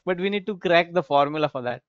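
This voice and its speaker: Marathi-speaking man, agreeable and chuckling, slightly high voice, dull dry call audio. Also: normal speed, dry recording. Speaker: participant. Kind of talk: casual chat on a video call.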